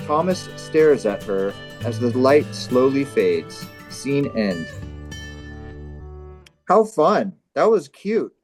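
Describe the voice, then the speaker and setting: A man narrates scripts and banters casually as a comedy show host. His pitch is middling, and soft music plays behind him. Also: big pitch swings, slow.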